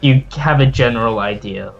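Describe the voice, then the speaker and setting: A man's voice: medium pitch, a little fast, creaky, dull audio. A man acting as game master in casual tabletop role-play chat, blunt and given to swearing. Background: background music.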